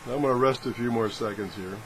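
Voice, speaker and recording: A man's voice: mid-range voice, fast, a crowd in the background, poor audio. A man giving a casual, unscripted product demonstration, rambling and thinking aloud in a good-humored, self-deprecating way. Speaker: host of a radio product test video.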